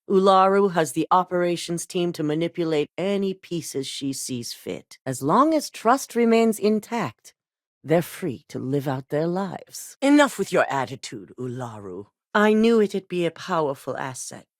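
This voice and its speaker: A woman voicing a cool, calculating corporate executive in a video game, speaking in a measured, businesslike way. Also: lowish voice, dry recording, studio quality. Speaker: game character.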